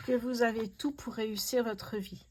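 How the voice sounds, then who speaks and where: A woman's voice: on the high side, fairly even intonation, normal speed, darkish tone. A woman hosting a casual tarot reading, speaking to her viewers in an effusive, awestruck way. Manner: effusive, awestruck, repeats herself in wonder. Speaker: host, tarot card reader.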